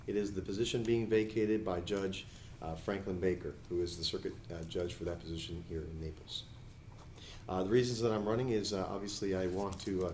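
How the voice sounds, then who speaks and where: Measured, low-voiced man in a roomy space. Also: slight background noise. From New Jersey, USA. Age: 40s. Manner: measured, frequent hesitation fillers. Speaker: attorney and judicial candidate. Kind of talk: editorial board interview.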